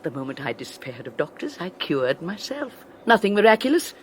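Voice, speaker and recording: An actress playing a domineering, cruel wife who mocks with theatrical scorn and cackling laughter in a radio drama. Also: female voice, fairly low pitch, big pitch swings, brisk, background noise. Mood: scornful. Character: domineering wealthy wife, cruel, mocking, triumphant. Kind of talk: 1980s radio drama acting.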